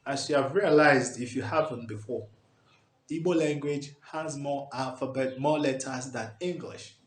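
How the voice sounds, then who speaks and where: A man's voice: mid-range voice, a little slow. A man, teaching a language lesson at a whiteboard, emphatic and checking in with "okay?". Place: small indoor room before a whiteboard. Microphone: camera at close range. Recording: echoey room, faint background hiss.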